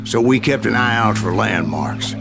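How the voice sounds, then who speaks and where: An actor playing a gruff old gunslinger in a video game, telling tall tales in a salty, folksy American Western drawl. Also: male voice, on the low side, animated, moderate pace, over loud music. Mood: wry storytelling. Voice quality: gravelly.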